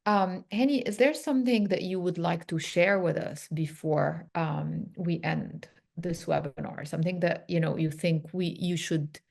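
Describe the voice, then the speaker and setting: A woman moderating a webinar over a call. Her voice sits low, with a gravelly texture, and she frames her questions carefully, pausing on fillers as she goes. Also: darkish tone. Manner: measured, hedging questions with fillers. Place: remote video call. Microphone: video call microphone.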